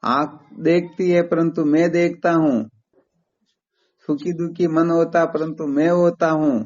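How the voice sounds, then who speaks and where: A man's voice: on the high side, fairly even intonation, takes it slowly, darkish tone. A man giving a didactic spiritual lesson over a phone call, explaining step by step and posing rhetorical questions. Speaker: Vedanta spiritual teacher. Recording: muffled lo-fi phone call recording.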